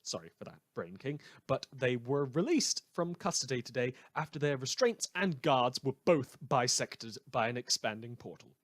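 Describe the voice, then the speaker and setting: A man's voice: mid-range voice, animated, normal speed, few pauses, no echo, close to an everyday voice. A man playing a villainous, anarchic radio host who tells stories in a theatrical, dramatic scripted monologue. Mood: gleeful, anarchic. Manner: theatrical, storytelling with dramatic emphasis.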